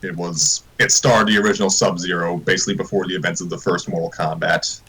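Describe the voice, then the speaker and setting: A man's voice: sits low, level tone, fast talker, bright, forceful tone, breathy edge, low-quality recording. A man co-hosting a livestream, giving casual explanatory commentary.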